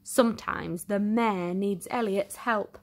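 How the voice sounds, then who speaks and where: A woman reading a children's storybook aloud in an expressive, theatrical way with deliberate emphasis. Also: mid-range voice. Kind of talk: dramatic storytime narration for young children.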